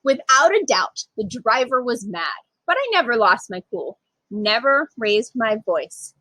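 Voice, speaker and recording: A woman reading a children's picture book aloud in an expressive, emphatic way with dramatic pauses. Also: on the high side, no echo, low-quality recording. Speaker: storytime reader, literacy program manager.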